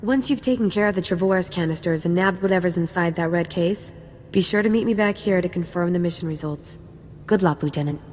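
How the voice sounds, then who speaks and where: A woman's voice: lowish voice, fairly quick, a little mellow, long reverb tail, a little hiss or hum, natural voice. A woman playing a confident, wry young special forces sergeant in a video game, casual and playful. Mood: breezy briefing. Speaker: soldier giving mission briefing. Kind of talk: scripted game dialogue.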